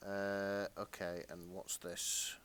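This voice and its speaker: A man co-hosting a casual chat, explaining himself in a halting, qualifying way. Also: sits low, level tone, fairly bright tone, clear, resonant tone. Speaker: podcast co-host.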